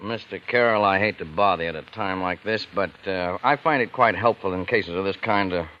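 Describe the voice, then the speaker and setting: A muffled, slightly noisy vintage radio recording carries a man playing a police detective. His voice is low and forceful, polite on the surface but sharp, probing and insistent. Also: brisk, close to an everyday voice. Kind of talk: 1940s-50s radio crime drama acting.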